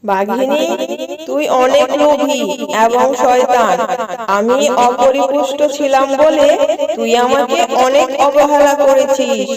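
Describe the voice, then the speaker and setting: High voice, motherly magical storybook creature, children's cartoon, played by a woman. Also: steady tone, takes it slowly, a loud crowd. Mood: angry, threatening. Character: mother fox and grateful, stern magic eggplant tree.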